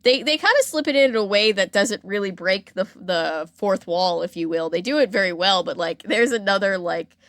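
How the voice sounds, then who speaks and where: A woman hosting a podcast, telling a story in a casual, animated, slangy way and acting out quotes. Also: medium pitch, normal speed, hardly any pauses, dry recording, clean studio sound. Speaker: podcast host.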